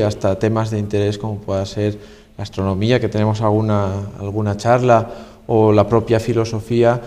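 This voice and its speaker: A man, a university guest on local TV, explaining research in an earnest, steady way. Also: on the low side. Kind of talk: interview answers. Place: local TV studio. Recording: reverberant studio sound.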